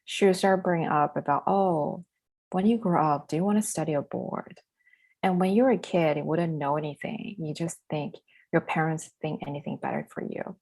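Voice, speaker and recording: A woman's voice: low-pitched, moderate pace, a little mellow, poor audio. A young woman from southern China, chatting as an interview guest, laughing often and speaking loosely with fillers. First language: Chinese. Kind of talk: casual interview chat.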